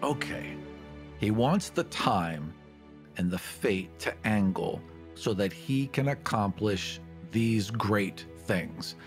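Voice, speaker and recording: A man's voice: fairly low pitch, lively intonation, takes it slowly. A man playing a tabletop game, talking informally and thinking aloud as he improvises his character's choices. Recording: music behind the voice. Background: background music.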